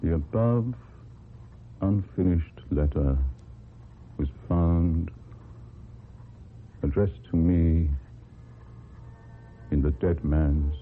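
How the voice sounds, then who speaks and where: An actor playing a brisk, detached senior investigating official in a radio drama, dry and businesslike. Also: male voice, deep voice. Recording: muffled old radio recording, background hiss.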